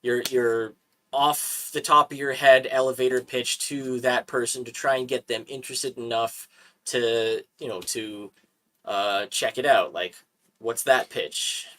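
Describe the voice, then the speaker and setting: A man hosting a casual talk stream, animated and quick to laugh, often repeating his words. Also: mid-range voice. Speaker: host. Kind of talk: casual podcast chat.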